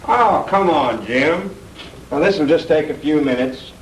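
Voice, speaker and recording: An actor playing a coaxing, businesslike American newspaper editor in a 1960s B-movie. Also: male voice, fairly high pitch, expressive, unhurried. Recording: echoey, distant, noisy old film soundtrack.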